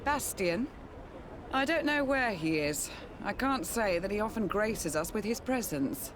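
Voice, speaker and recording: A woman's voice: medium pitch, expressive, unhurried, lightly acted. Woman acting a curt merchant, ambient noise behind. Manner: dry, dismissive. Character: curt, guarded businesslike female merchant. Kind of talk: dramatic video game dialogue.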